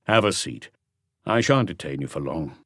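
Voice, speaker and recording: Man playing a deep, measured police inspector. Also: animated, husky, no echo, studio quality, full character voice. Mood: probing, calm. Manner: measured, probing, quietly firm. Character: calm, weighty male police inspector questioning suspects.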